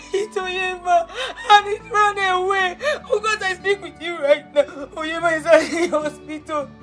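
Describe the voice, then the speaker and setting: A woman playing a desperate, tearful niece. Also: very high voice. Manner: tearful, pleading, rising to shouting. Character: desperate young woman Agatha pleading with her uncle. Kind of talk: Nollywood-style drama acting.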